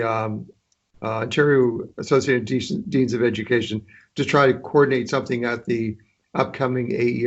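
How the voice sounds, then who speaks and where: A man speaking on a conference call, hesitant and full of restarts, offering to coordinate with other deans. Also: medium pitch. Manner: hesitant, many restarts and fillers. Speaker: dean, association member. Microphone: conference line. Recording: dull lo-fi call audio.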